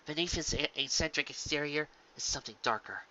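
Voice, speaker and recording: Man playing a solemn older royal sister, storybook character, hushed, measured, grave warnings, dull lo-fi recording. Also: on the high side, a little hiss or hum. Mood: grave, foreboding.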